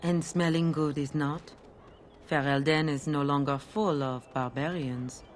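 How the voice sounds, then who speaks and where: A woman's voice: deep voice, fairly even intonation, measured pace, a little hiss or hum. A woman playing a genteel, coaxing perfume seller in a fantasy game. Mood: haughty, helpful. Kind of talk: fantasy video game dialogue acting.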